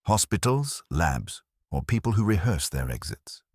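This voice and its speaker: A man voicing an imperious detective boss in an audiobook, with formal, measured, pompous diction. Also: low voice, sing-song, a little mellow, husky, no room sound, studio quality, full character voice. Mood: measured, probing.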